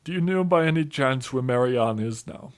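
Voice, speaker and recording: Man playing kindly priest narrator for an audio play, average pitch, formal and courteous. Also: expressive, brisk, lightly acted. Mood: courteous, measured.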